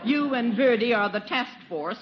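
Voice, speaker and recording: An actress playing a prim, bossy older aunt in a 1940s radio comedy, commanding and fussy. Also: female voice, a little high, animated, moderate pace, natural voice. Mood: brisk, commanding. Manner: commanding, fussy, self-assured. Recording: muffled, faintly noisy 1940s radio recording.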